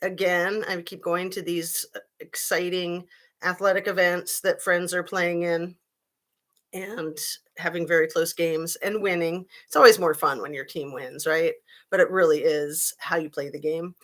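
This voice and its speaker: A woman in her fifties with a lowish voice that sounds a little raspy today. She talks casually to her live chat, greeting viewers by name and filling her pauses with ums and you-knows. The sound comes from a webcam at home. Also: steady tone. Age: 50s. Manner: chatty, frequent fillers, greets chat viewers. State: slightly raspy voice. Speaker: livestream host.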